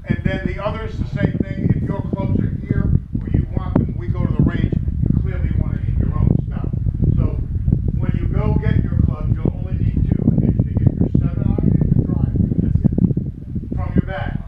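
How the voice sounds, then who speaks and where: Chatty Rhode Island golf teacher outdoors. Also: male voice, sits high, big pitch swings, normal speed, echoey room. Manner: chatty, joking, loose instruction. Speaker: golf instructor. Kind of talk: outdoor golf clinic instruction. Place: outdoors at a golf practice area. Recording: distant, muffled, noisy outdoor recording.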